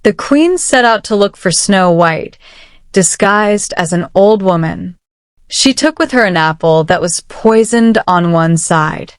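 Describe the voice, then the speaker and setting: A woman narrating a children's picture book aloud with measured, careful phrasing. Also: medium pitch, expressive, smooth, no echo, clean studio sound. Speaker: storybook narrator. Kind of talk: children's read-aloud storytelling. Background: soft music.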